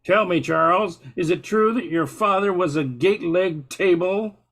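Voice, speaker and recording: A man's voice: high voice, normal speed, fairly bright tone. A man voicing a grumbling, sardonic old comedian with a florid, mock-threatening manner in a radio comedy sketch.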